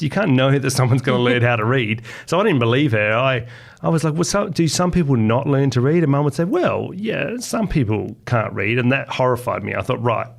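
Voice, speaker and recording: A man's voice: mid-range voice, creaky. A man chatting casually as an interview guest, thinking aloud as he recalls memories. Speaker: children's author, podcast guest.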